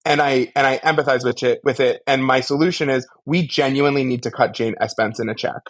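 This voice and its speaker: A man's voice: mid-range voice, steady tone, a little fast. A man co-hosting a podcast, giving casual but articulate critique in long, emphatic explanations. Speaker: podcast co-host. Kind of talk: casual media discussion and critique.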